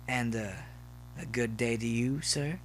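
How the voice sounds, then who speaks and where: A man's voice: mid-range voice, measured pace, close to an everyday voice. A man voicing a cynical, cool young college pony in a story, speaking casually and wryly, with hum behind. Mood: cheeky.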